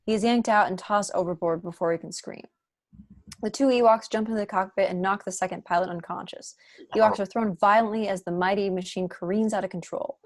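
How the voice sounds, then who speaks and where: A young adult woman reading scripted stage directions as narrator at an online table read. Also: medium pitch. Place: room at home, video call.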